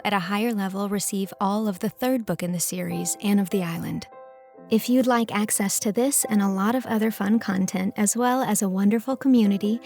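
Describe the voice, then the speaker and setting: A woman's voice: mid-range voice, darkish tone. A woman reading scripted audiobook narration as a storyteller.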